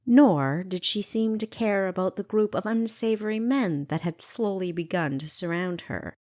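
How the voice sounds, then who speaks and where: A woman reading a story aloud as narrator in an expressive, scripted storytelling manner. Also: medium pitch, normal speed, no echo, studio quality. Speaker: author narrating own audiobook.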